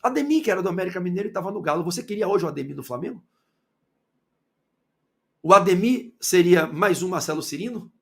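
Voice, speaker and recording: A man with a Bahian accent holds forth on football in a fairly high, gravelly voice. He keeps throwing rhetorical questions at the listener and swings from loud outbursts down to low asides. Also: lively intonation, fast, long pauses. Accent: Bahian Portuguese. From Salvador, Bahia, Brazil. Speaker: football commentator. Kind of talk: opinionated football commentary monologue.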